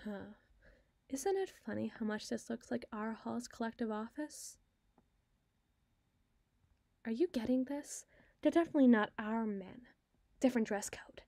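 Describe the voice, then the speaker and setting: A woman acting a sardonic, fast-thinking, bossy secret agent in an ASMR roleplay audio drama, wordy and wry. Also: on the high side, mellow, no echo, clean studio sound. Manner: wry, wordy, teasing, flustered when challenged.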